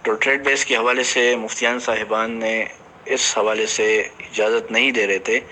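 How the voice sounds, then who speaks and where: Man, bright forceful voice, halting explanatory team announcement, English business terms mixed in, slightly noisy voice-message recording. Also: medium pitch, fairly even intonation. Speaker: company director addressing team members. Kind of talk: informal spoken announcement to a team.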